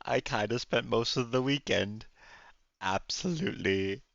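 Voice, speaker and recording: A man with a hoarse, nearly gone voice, chatting casually and effusively on a game livestream. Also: medium pitch, a little slow, fairly bright tone, dry recording, dull audio. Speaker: game streamer.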